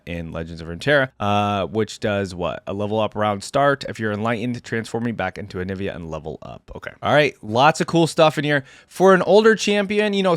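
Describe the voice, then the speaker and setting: A man's voice: on the low side, dry recording. A man hosting game reaction commentary, talking and reading aloud in an informal, easygoing way. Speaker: host of a game lore reaction channel.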